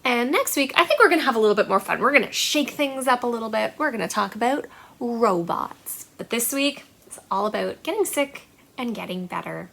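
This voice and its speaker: A woman hosting a storytime for young children, speaking in a deliberate, singsong way. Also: on the high side, lively intonation, vocal fry, fairly bright tone. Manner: singsong, deliberate, repetitive phrasing for small children. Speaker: children's library storytime host. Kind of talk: storytime read-aloud and simple activity for young children.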